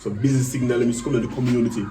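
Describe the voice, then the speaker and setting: Animated Jamaican man, distant noisy recording. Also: medium pitch, rapid-fire. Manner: animated, acts out quoted speech. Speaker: musical artist telling career stories. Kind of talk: casual storytelling in Jamaican Patois. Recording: distant mic with faint background noise.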